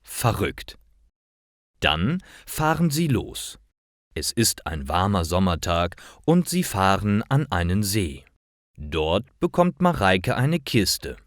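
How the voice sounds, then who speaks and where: A man reading simple stories for language learners as a scripted narration, in careful, clearly separated short phrases. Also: low voice, very animated, slow, dry recording, clean studio sound.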